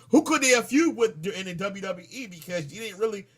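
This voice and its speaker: A man in his thirties hosting a casual wrestling chat, excitable and slangy, with plenty of swearing. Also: high voice, slightly rough. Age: 30s. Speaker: podcast host.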